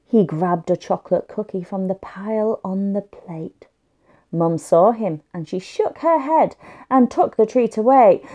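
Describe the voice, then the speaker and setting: A woman's voice: on the high side, dark tone. A woman reading a picture book aloud expressively and carefully for young children. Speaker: children's story reader.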